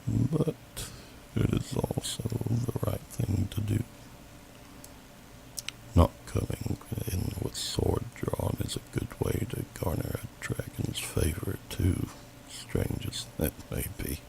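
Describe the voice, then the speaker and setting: Deep, gravelly old dragon. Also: male voice, heavily acted. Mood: kindly, reflective. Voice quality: very deep, gravelly, breathy growl. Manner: slow, pensive, archaic formal phrasing. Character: gentle, wise old male dragon, welcoming host. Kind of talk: ASMR fantasy roleplay. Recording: noisy amateur home recording.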